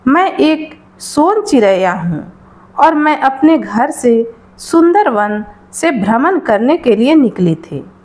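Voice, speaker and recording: Small magical female bird played by a woman storyteller: high, slow, polite and imploring, storybook style. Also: exaggerated voice. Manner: formal, reverent, imploring.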